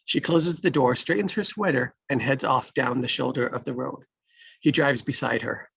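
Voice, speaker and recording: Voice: medium pitch, moderate pace, creaky, a bit bright, husky, dry recording. A narrator reading screenplay stage directions aloud in a scripted table-read style.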